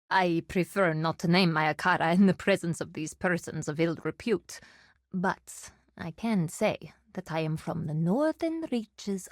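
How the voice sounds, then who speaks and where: Stern desert warrior woman, low-voiced, formal and measured, dry studio game lines. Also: no room sound. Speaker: game character.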